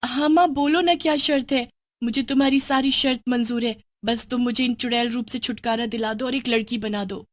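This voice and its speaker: A woman voicing a young half-goat witch girl, an animated, eager daughter in a storybook cartoon. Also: fairly high pitch, fairly quick, no echo, pitched above the performer's own voice, lightly acted. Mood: excited. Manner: excitable, pleading, turning gleefully menacing.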